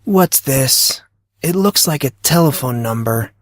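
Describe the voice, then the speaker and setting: A man's voice: medium pitch, lively intonation, unhurried, dry recording, studio quality, voice pushed up, close to an everyday voice. A man voicing an awkward, self-doubting teenage boy in an audio drama, stammering and exasperated. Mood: unsure. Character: awkward, flustered, self-doubting fourteen-year-old high school boy. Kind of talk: audio drama character acting.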